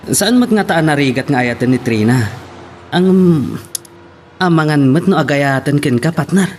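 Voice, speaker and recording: A man plays a questioning, advising friend in an audio drama. He has an Ilocano Filipino accent and a voice on the higher side, with faint ambient noise in the recording. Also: animated, normal speed, natural voice. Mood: concerned, probing. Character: concerned, questioning male friend advising his buddy.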